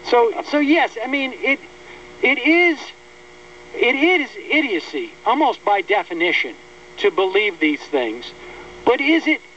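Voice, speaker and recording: An American man hosting a political radio interview in a conversational, wry, halting way, repeating words as he forms his points. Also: sits high, fairly bright tone. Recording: distant, dull lo-fi radio audio, faint hum.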